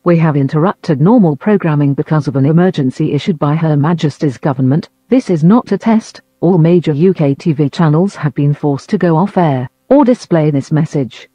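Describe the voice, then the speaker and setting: A man reading a scripted emergency broadcast announcement in a formal, measured manner. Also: on the high side, mellow, dry recording. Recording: dull lo-fi audio.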